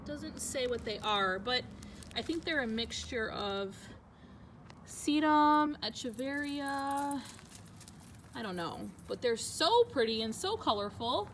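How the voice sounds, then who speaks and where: A woman's voice: fairly high pitch, animated, takes it slowly, fairly bright tone. A woman hosting a casual, chatty hobby vlog, talking informally and gushing as she goes. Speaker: vlog host. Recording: clear background noise.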